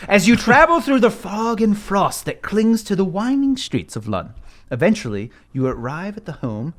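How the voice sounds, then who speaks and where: High-pitched, animated man as game master. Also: moderate pace. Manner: jokey, conversational. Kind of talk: narrating a tabletop game, casual and comic.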